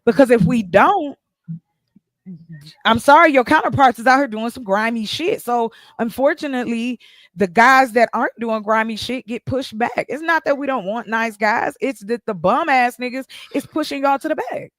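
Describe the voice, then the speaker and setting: A woman's voice: a little high, normal speed, a bit bright, no echo. A woman in her forties hosting a livestream group chat, blunt and playful, teasing the men. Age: 40s. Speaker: host.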